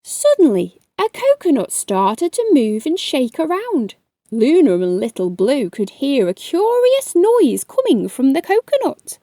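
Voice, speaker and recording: A woman reading a story aloud to children, hosting in an animated, expressive way and speaking directly to her young listeners. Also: sits high, unhurried, no echo, clean studio sound. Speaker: children's story reader and host.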